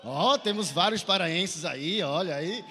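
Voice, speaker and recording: A man's voice: high-pitched, lively intonation, unhurried, sharp tone, breathy edge. A Brazilian man preaching a sermon at a youth conference in an exhorting manner, in an echoey large hall. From Santarém, Pará, northern Brazil. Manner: exhorting, asks listeners to repeat phrases and respond.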